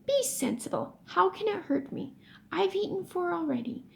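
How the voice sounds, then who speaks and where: A woman's voice: extremely high pitch, lively intonation, moderate pace, vocal fry, fairly bright tone, pitched above the performer's own voice, heavily acted. A woman voicing a stubborn, combative schoolboy who goads and pushes, in a children's storybook. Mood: grimly determined. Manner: pushy, goading. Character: stubborn, combative schoolboy determined to win a bet.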